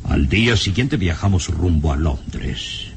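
Deep-voiced, slow, haunted professor. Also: male voice, expressive. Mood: somber, dramatic. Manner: dramatic, halting, ominous. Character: grave, shaken male professor recounting dark castle secrets. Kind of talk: old radio serial acting. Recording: noisy old radio serial recording, rumble.

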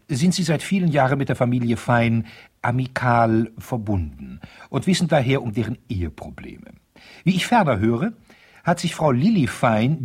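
An actor playing a vain, insistent divorce lawyer in a 1970s radio play, reading out formal letters with pompous, stilted diction and a husky voice. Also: male voice, mid-range voice, darkish tone.

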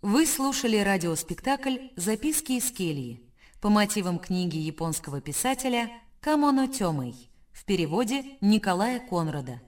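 A woman's voice: medium pitch, sing-song, normal speed, recorded from a distance. A woman radio announcer reading scripted closing credits in a formal broadcast style.

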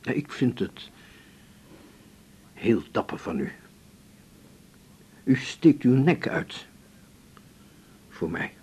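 An actor playing a courteous, composed older male patient in a radio drama, speaking gently and haltingly. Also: sing-song. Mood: gentle, grateful. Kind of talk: 1980s Dutch radio drama acting. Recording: faint rumbling background noise.

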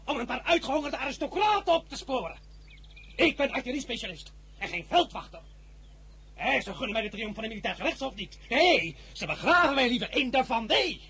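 An actor playing a proud, ambitious young general in a radio play, commanding and quick to flare up. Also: male voice, extremely high pitch, expressive, bright, forceful tone, slightly rough, exaggerated voice. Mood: indignant.

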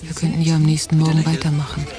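An actress playing a watchful woman on holiday, narrating a radio drama in a measured, introspective way. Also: low voice, completely flat delivery, natural voice. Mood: observant. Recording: 1990 radio drama, music behind.

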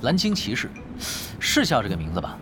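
An actor playing a laid-back, shameless veteran online gamer who needles others casually in a Chinese radio drama. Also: male voice, medium pitch, huge pitch swings, fairly quick, music in the background. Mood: nonchalant, needling.

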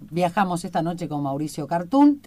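A woman with an Argentine accent interviewing in a conversational way, building her questions in halting, thoughtful phrases. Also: fairly low pitch, big pitch swings, over loud music. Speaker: radio interviewer.

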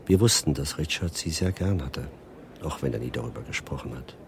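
A man's voice: a bass voice, lively intonation, fairly quick, background noise. An actor playing a dignified older father, reading formally and with composure in a radio play. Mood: solemn. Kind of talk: letter read in a radio play.

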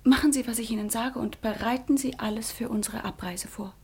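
High, breathy, proud noblewoman. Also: steady tone, fairly quick, exaggerated voice. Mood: brisk, commanding. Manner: firm, clipped. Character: proud, resolute young noblewoman, secretive. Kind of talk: classic radio play acting.

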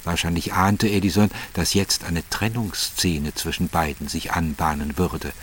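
Man, narrator, scripted literary narration, deep voice, slight background noise. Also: steady tone, a little slow.

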